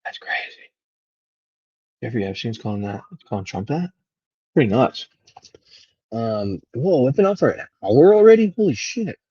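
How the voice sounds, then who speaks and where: A man from Venezuela talking casually and unscripted as a livestream host, rambling and halting as his thoughts trail off. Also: mid-range voice, lively intonation, warm tone, slightly rough, no echo, dull audio. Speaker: live stream host.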